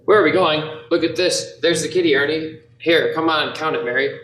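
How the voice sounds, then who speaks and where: A man in a radio play acting an earnest, excitable small-town young man, emphatic and stammering when flustered. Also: a little high, brisk, natural voice. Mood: elated. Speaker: actor in a youth group radio play. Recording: echoey room, faint noise.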